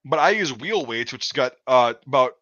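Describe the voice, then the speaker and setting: Profane bright-voiced man, dull sound. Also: medium pitch, animated, normal speed. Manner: profane, rambling, restarts often. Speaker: show host. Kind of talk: casual livestream chat.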